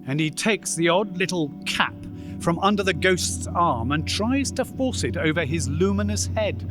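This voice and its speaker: A man narrating a radio play as dramatic, deliberate storytelling with suspenseful pauses. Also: sits high. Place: live stage performance in a church.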